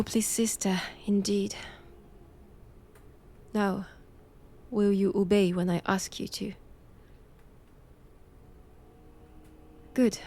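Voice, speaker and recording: A woman with a French accent playing a stern, cold vampire-hunting nun in an intimate audio roleplay, measured and commanding. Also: medium pitch, mellow. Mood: firm, commanding.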